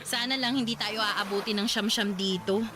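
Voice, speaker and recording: A woman's voice: mid-range voice, normal speed, close to an everyday voice. An actress playing a young woman working at a call center, bantering in a radio drama. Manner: lively, bantering. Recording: radio drama with background noise.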